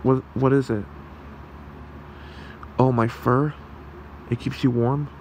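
A man voicing a gentle, protective fox creature in an ASMR roleplay, with a soothing, halting, gravelly delivery. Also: medium pitch, fairly even intonation, a little hiss or hum, lightly acted. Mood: tender, reassuring. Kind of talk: ASMR roleplay, soft comforting talk.

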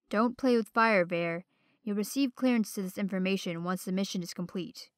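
A woman's voice: mid-range voice, measured pace, lightly acted. A woman playing a businesslike mission operator in a comic dub, matter-of-fact and cautioning. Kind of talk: dramatic comic dub acting.

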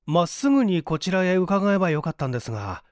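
A man's voice: sits high, very animated, fast, dry recording, studio quality, voice pushed up. A man voicing an earnest, courteous young man in a dramatic story reading. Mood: polite, explanatory. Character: earnest, polite young man, grateful and modest.

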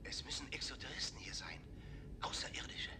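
An actor playing a grave, shaken middle-aged space engineer, reporting tersely in a 1960s television science fiction drama. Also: male voice, a little fast, whispered, close to an everyday voice. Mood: grim, shaken. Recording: 1960s TV soundtrack with music behind.

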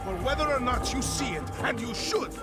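An actor playing a haughty, stern middle-aged lord and regent in a video game, speaking in a formal, imperious way. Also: male voice, very high voice, animated, brisk. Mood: stern, threatening. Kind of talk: dramatic video game acting. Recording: loud music under the voice.

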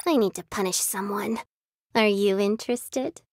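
A woman's voice: medium pitch, moderate pace, natural voice. An actress voicing a cute, playful young girl soldier with a sadistic streak in an anime-style mobile game, girlish and sweetly teasing. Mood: teasing, sly. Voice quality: girlish, cutesy.

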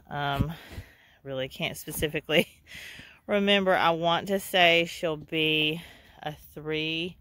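A goat breeder gives a casual, chatty farm tour, laughing softly and talking to her goats as she walks around an outdoor pen. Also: fairly low pitch, creaky, a little hiss or hum. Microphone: handheld camera.

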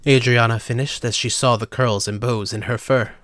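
A male narrator reads a scripted story for an audio drama. His voice sits at a middle pitch and is recorded close and dry. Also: steady tone, normal speed, a bit bright, no echo.

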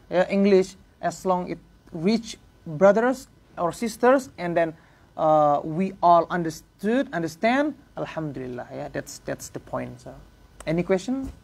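A man in his thirties with an Indonesian accent, giving an informal religious lesson in halting English, filling pauses with "yeah" and "uh". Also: fairly high pitch, creaky. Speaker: religious teacher. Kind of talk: informal lecture to a small class. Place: prayer room, indoors.

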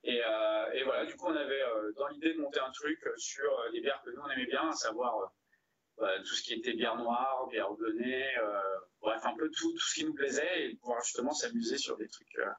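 A man in his thirties from Brittany, chatting casually as an interview guest, pausing often as he feels out his sentences. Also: mid-range voice, fairly bright tone, slightly rough. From Brittany, France. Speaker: brewery co-founder, interview guest. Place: indoor room, video call. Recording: dull, lo-fi video call audio.

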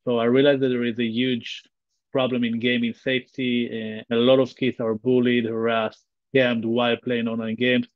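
A man's voice: mid-range voice, flat delivery, no room sound. A man in his thirties with an Israeli accent, a guest speaking earnestly over a video call, often restarting his sentences. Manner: earnest, halting with frequent restarts and fillers. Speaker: guest, tech company founder. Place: bright room at home. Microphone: video call webcam. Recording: slightly dull, dry video call audio.

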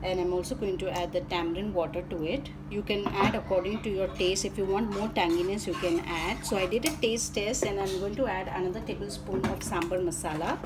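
A woman's voice: medium pitch, steady tone, a little fast, few pauses, heavy background noise. A woman with an Indian accent giving casual step-by-step recipe narration as a cooking channel host.

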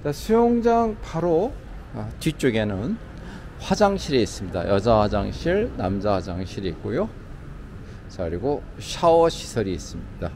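A man's voice: fairly low pitch, sing-song, moderate pace, darkish tone. A man narrating a casual walking tour of a city hotel's outdoor pool, with lots of filler words, recorded on a camera mic outdoors. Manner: conversational, frequent "자" and "뭐" fillers. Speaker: travel vlogger touring a hotel. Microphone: handheld camera mic. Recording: outdoor camera audio with traffic noise. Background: city traffic ambience.

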